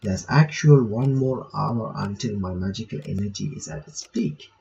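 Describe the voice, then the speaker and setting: A man's voice: on the low side, animated, normal speed, vocal fry, dull audio, crowd noise. A man reading visual novel text aloud with casual commentary, breaking off to remark and reread lines. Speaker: gaming reaction video maker.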